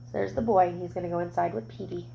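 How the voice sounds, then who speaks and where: A woman reading a picture book aloud to young children, expressive, with gentle explanatory asides. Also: fairly low pitch, fast talker, vocal fry, slight background noise, poor audio.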